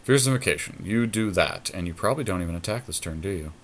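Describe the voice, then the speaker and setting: A man's voice: deep voice, lively intonation, fast, slight background noise. A man co-hosting a podcast, chatting casually and thinking aloud as he plays a card game. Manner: deliberating, thinks out loud. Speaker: podcast co-host.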